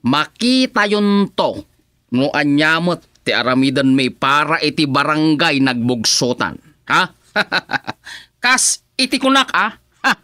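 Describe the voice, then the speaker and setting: Man acting as a bragging Ilocano councilman, lively swooping pitch, dry close sound, middle-aged. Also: takes it slowly, no echo, full character voice. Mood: boastful. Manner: exclaiming, chuckling, swinging pitch. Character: boastful middle-aged village councilman, husband. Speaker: village councilman. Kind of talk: Ilocano radio drama comedy.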